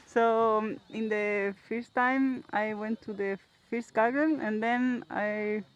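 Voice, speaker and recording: A woman from Chile with a Chilean Spanish accent, answering interview questions as a paragliding pilot in halting English and laughing often. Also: on the high side, steady tone, dull audio. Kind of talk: post-flight interview.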